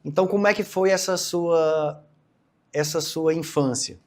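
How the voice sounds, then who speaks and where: A man in his forties hosting a talk show, with a fairly high voice. He speaks to his guest deferentially, often tagging on "né" and restarting his sentences. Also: fairly even intonation, unhurried, a little mellow. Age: 40s. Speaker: host. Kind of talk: casual interview.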